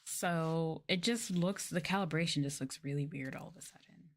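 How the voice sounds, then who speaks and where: Low-pitched woman, casual streamer chat. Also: moderate pace. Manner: informal, rambling, uses "like" and "y'all". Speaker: VTuber livestreamer. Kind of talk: casual soft-spoken livestream chat to viewers.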